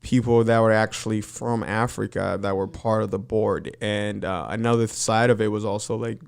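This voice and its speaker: A man hosting a podcast interview, talking in a relaxed, friendly way and asking questions. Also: fairly low pitch, normal speed, creaky, clean tone. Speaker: podcast host. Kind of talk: casual interview chat.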